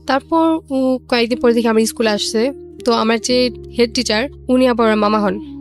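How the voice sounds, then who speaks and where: A woman's voice: a little high, fairly even intonation, moderate pace. A young woman with a Bangladeshi accent telling her own story casually, in colloquial speech, quoting remembered conversations. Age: young adult. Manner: colloquial, acts out remembered dialogue. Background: music.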